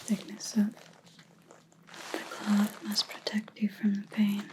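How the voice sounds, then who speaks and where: Female vampire hunter, played by a woman, ASMR roleplay: breathy close-mic whisper, unhurried, calm, quietly accusing menace. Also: mid-range voice, lightly acted. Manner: slow, deliberate, quietly accusing. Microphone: close ASMR microphone.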